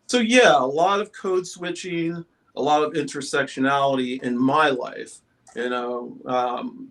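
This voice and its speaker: A man talks slowly and openly on a relaxed panel, stopping often on fillers as he searches for words. His voice sits at an everyday middle pitch and his delivery is unhurried. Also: gravelly. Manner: halting, many um fillers, candid. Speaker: panel guest. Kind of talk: casual panel chat.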